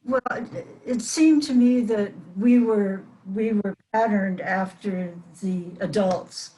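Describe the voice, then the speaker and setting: An older woman, a guest on a panel, reminiscing in a halting, thoughtful way. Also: mid-range voice, warm tone, low-quality recording. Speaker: panel guest, former child radio actress.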